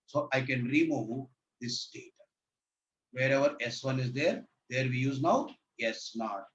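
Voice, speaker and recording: A man's voice: mid-range voice, a little slow, dull audio, a little hiss or hum. Man, sore throat, repetitive lecturer, distant laptop mic, roomy. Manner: repetitive, asks if audible, says sir. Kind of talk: online lecture on state reduction.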